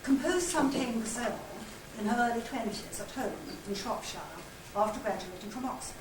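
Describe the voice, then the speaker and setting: A woman's voice: on the high side, lively intonation, moderate pace. An older woman giving a scripted literary presentation, reading out narration, letters and diary extracts. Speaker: presenter and reader at a literary society conference. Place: conference hall. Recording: echoey room, distant mic, loud noise.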